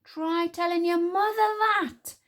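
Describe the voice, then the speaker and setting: A woman's voice: mid-range voice, sing-song, moderate pace. A woman voicing a boastful, moody thirteen-year-old wizard boy in an audiobook, cocky and grandiose. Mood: indignant. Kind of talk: audiobook character voice.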